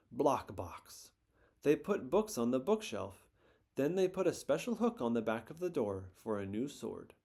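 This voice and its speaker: A man reading a children's picture book aloud in a gentle, expressive storytelling manner. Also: medium pitch.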